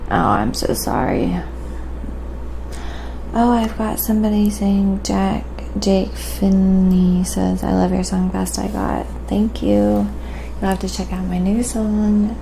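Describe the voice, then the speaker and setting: Soft-spoken, slow woman with creaky low voice. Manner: soft-spoken, gentle, unhurried. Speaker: livestream host and painter. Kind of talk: quiet ASMR livestream chat while painting. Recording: clearly audible background noise.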